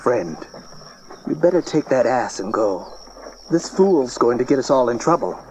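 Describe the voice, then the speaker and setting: Wary villager: lively swings in pitch, urgent, blunt, nervous, biblical radio-play style. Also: male voice, unhurried, a little hiss or hum, rough recording. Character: wary townsman warning travelers, blunt and nervous. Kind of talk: dramatized Bible audio drama.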